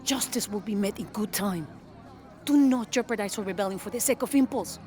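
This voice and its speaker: An actress playing a widowed rebel queen, speaking in a measured, authoritative way. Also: mid-range voice, a little mellow, soft background music, lightly acted. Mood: commanding. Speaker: rebel leader.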